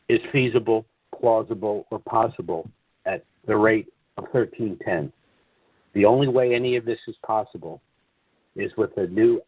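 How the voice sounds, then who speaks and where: A man giving an informal, opinionated monologue on a conference call, speaking emphatically and deliberately, repeating phrases for weight. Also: fairly low pitch, breathy edge, no echo. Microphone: conference call line. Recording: dull, dry, lo-fi conference call audio.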